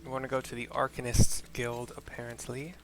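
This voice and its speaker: A man's voice: mid-range voice, monotone, brisk, music in the background. A man giving casual, chatty let's-play commentary as a streamer, loosely describing each scene aloud. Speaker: game streamer, narrator.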